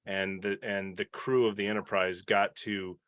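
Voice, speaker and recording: A man's voice: lowish voice, level tone, normal speed, a bit bright, dry recording, clean studio sound. A man chatting casually on a discussion panel, enthusiastic and often restarting his phrases. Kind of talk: casual panel chat about a TV show.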